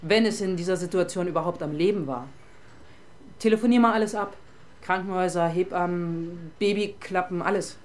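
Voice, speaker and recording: A woman's voice: lowish voice, a little fast, darkish tone, close to an everyday voice. An actress playing a sardonic, businesslike female police inspector in charge, in a German radio crime drama.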